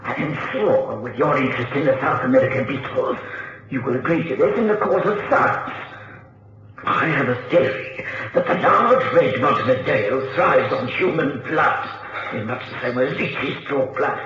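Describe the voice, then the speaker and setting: An actor playing a mad scientist villain in a radio drama, deliberate and menacing, relishing each word. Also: male voice, mid-range voice, expressive, a bit bright, natural voice. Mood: eager menace. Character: mad, gloating male scientist villain obsessed with beetles. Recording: noisy, muffled radio recording, very echoey.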